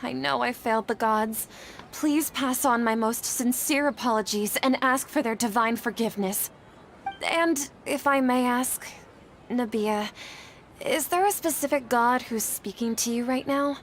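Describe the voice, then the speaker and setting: Earnest higher-voiced young desert woman. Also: measured pace, slight background noise. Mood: apologetic, hesitant. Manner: earnest, polite. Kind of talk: video game dialogue acting. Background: game music.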